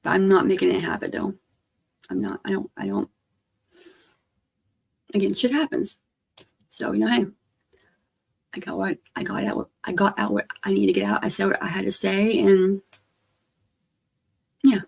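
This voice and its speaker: Woman streamer, hoarse and gravelly, loose rambling chat, soft trailing delivery. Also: mid-range voice, big pitch swings, moderate pace, pauses often. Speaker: livestreamer. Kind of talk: casual livestream chat.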